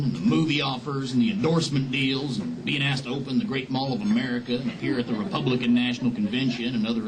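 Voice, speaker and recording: An actor playing a crude, sardonic country show emcee with a folksy, hucksterish Southern drawl, in a live satirical interview sketch. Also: male voice, medium pitch, natural voice. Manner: hucksterish drawl, mock-friendly. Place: comedy club stage before an audience. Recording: noisy live club stage, loud crowd.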